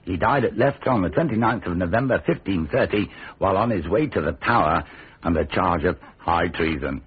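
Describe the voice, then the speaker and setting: Deep, husky, wry narrator. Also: male voice, animated, a little fast, full character voice. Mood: dry, ironic. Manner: dry, bookish, ironic. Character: wry common-man narrator, dryly ironic. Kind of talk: scripted narration in a radio drama. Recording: tinny, band-limited radio drama recording.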